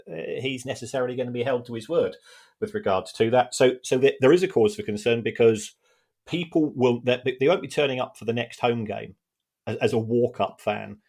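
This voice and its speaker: Gravelly man explaining carefully. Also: medium pitch, a little mellow. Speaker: podcast expert and analyst. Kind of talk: explanatory conversational analysis.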